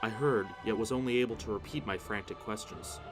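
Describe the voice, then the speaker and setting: A man's voice: on the low side, brisk. A man narrating a horror story in the first person, solemn and theatrical. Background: music.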